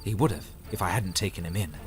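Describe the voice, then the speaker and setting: Guy playing a polite knight, lowish voice, calm n measured, fantasy audio drama w faint music. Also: lightly acted. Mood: explaining. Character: calm, courteous male knight and dragon rider.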